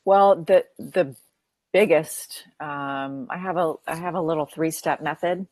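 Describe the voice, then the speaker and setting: A woman's voice: low-pitched, a little slow, darkish tone, no room sound. A woman counselor speaking as a podcast guest, earnest and affirming, often repeating phrases as she builds a point. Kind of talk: podcast interview.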